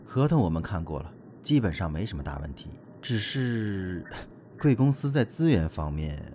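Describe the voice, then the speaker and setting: A man playing an excitable but cautious talent agent in a Chinese audio drama. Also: sits low, normal speed, slight background noise. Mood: careful, businesslike. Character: excitable, cautious male talent agent, fussing over his star.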